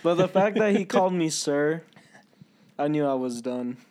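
A man's voice: a little high, lively intonation, normal speed, darkish tone, smooth. A man in his twenties chatting casually on a podcast couch, telling stories and laughing often. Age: 20s. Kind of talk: casual chat.

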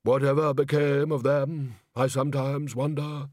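A man voicing a wistful old music director, reminiscing slowly and mournfully in a storybook. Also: medium pitch, flat delivery, darkish tone, no echo, studio quality, natural voice. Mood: wistful, nostalgic.

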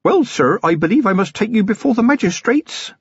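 A man's voice: sits high, lively intonation, a little fast, breathy edge, no echo, muffled recording, voice pushed up, full character voice. A man voicing a nervous, eager young village policeman who speaks respectfully and hesitantly in an audiobook story. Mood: hesitant, deferential.

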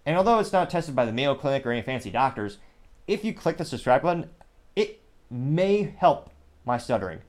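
A man's voice: mid-range voice, sing-song. A man hosting his own commentary show, rambling and correcting himself as he goes, recorded on a small USB microphone. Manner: rambling, self-correcting, mentions stuttering and speaking fast. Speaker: show host.

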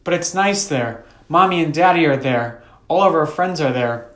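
A man's voice: on the high side, expressive, moderate pace, fairly bright tone, reverberant, pitched above the performer's own voice. A man voicing a frightened, stubborn little girl with a pleading, petulant manner in a story narration. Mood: plaintive.

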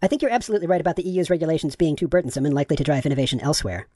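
A man reading the lines of a courteous, gender-neutral AI chatbot, with his voice pitch-shifted upward. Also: animated, very fast, a little mellow, no room sound, clean studio sound. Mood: polite, agreeable. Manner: courteous, affirming, asks follow-up questions. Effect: pitch raised.

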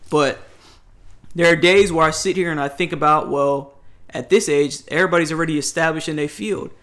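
Young man, late twenties, emphatic advice, rambling restarts, bright forceful voice. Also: a little high, fairly quick. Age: 20s. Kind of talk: casual chat.

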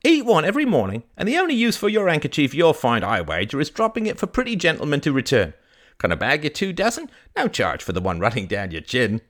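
A man's voice: on the high side, wildly swooping pitch, fast, fairly bright tone, voice pushed up. A man voicing a jovial, chatty old street fruit vendor with folksy, exclamatory patter, in an audiobook. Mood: chirpy salesmanship. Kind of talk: audiobook character voice.

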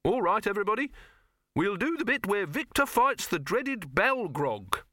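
A high, whining film director, fussy and easily exasperated, grumbling at his crew in a storybook reading, as a male narrator puts him on. His voice climbs well above normal talk and lands with sarcastic bite, in a dry, close-sounding recording. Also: very animated, moderate pace, sharp tone, no echo, heavily acted. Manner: wailing, grumpy, sarcastic.

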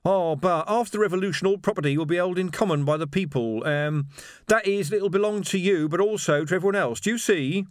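A man's voice: high-pitched, a little fast, no echo, clean studio sound, voice pushed up, lightly acted. A man voicing a prim, idealistic revolutionary in an audiobook, preachy and insistent. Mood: earnest, explaining. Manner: preachy, insistent, easily offended. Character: earnest, prim, idealistic male revolutionary organiser.

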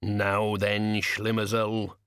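Man doing a low laconic barman. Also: monotone, takes it slowly, fairly bright tone, clear, resonant tone, no echo, clean studio sound. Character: gruff taciturn male barman.

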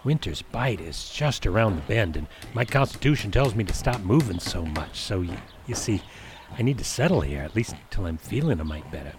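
Low-voiced, folksy weary hobo. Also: male voice, very animated, normal speed, background noise. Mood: humble, weary. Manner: folksy, deferential. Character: humble, weary drifting hobo seeking shelter. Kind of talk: 1930s period audio drama acting.

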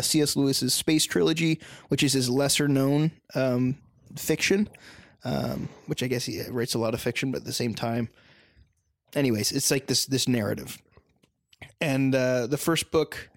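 A man's voice: medium pitch, no room sound, clean studio sound. A young man co-hosting a casual podcast, rambling a little with many ums and uhs. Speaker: podcast co-host. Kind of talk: casual chat.

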